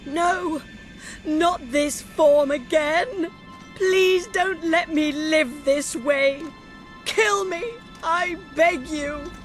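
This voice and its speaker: A woman's voice: sits high, steady tone, drawn-out words, faint music behind. A woman playing a desperate, tormented woman in a game drama, pleading in anguish. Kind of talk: video game drama acting.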